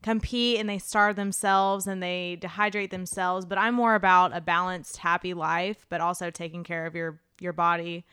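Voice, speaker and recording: A young woman, a podcast guest, talking casually in a loud voice and laughing easily. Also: fairly low pitch, steady tone, normal speed, hardly any pauses, clean tone, dry recording, clean studio sound. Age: young adult. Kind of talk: casual interview answers.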